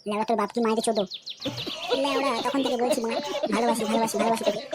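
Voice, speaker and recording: Brash young male cartoon suitor played by a woman, West Bengal accent, crude swagger, very rapid delivery, music underneath. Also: on the high side, steady tone, noisy background, lightly acted. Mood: angry bullying. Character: brash, foul-mouthed young male suitor, pushy and menacing. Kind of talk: comedy cartoon dialogue. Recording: music behind the voice.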